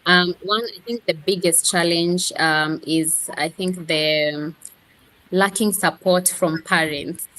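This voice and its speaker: Woman teacher, kinda low voice, earnest, repeats herself for emphasis. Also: fairly even intonation, takes it slowly, a bit bright, low-quality recording. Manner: earnest, storytelling with quoted speech and repeats. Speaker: Swahili teacher, guest. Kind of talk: interview answers.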